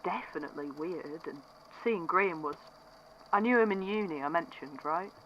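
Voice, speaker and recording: A man's voice: a little high, moderate pace, fairly bright tone, highs cut off, a little hiss or hum, close to an everyday voice. An actor playing an uneasy young man who rambles about a strange encounter in a fan audio drama. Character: uneasy man, the statement giver again.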